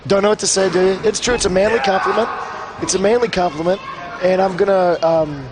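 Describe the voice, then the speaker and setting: A young man, a wrestler, doing color commentary at a wrestling show, hoarse from screaming and joking around. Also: high-pitched, fairly quick. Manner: joking, excitable, rambling. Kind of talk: live play-by-play commentary. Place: wrestling arena commentary desk. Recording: loud arena crowd and noise.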